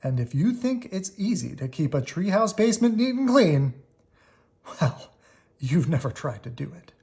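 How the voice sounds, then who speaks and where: A storybook reader giving an expressive children's read-aloud, leaning on key words with drawn-out emphasis. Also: medium pitch.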